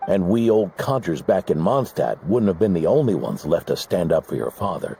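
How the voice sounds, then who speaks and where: Grizzled old knight, deep and gravelly, grave storyteller. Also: male voice, very animated, warm tone, slight background noise, heavily acted. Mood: regretful. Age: older. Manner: measured, storytelling gravity. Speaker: game character.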